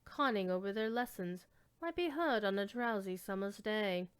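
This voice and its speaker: A woman giving a measured, theatrical dramatic reading of classic literary fiction, lingering on descriptive words. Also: mid-range voice, clear, resonant tone. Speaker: storyteller reading aloud.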